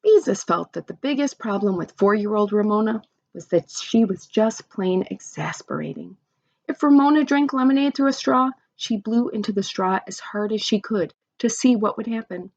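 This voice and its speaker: A woman's voice: mid-range voice, animated, moderate pace, creaky, a little mellow, no room sound, highs cut off. A woman reading a children's story aloud expressively, with chatty asides to young listeners.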